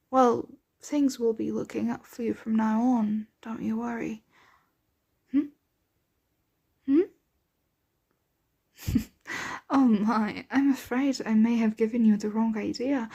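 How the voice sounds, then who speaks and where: A woman voicing a gentle, motherly vampire in an ASMR roleplay, speaking softly and tenderly, often in a whisper, with genteel, coaxing phrasing. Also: on the high side, exaggerated voice. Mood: warm, welcoming, then gently amused. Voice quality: soft, breathy, often whispered. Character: gentle, motherly, wealthy female vampire soothing her captive.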